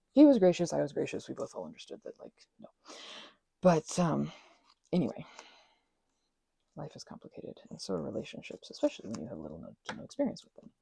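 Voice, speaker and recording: A woman in her thirties chatting in a soft, rambling way, full of fillers and self-corrections, as an ASMR host. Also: low-pitched, creaky, slightly rough, no echo. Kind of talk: rambling personal chat. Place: quiet room at a craft table.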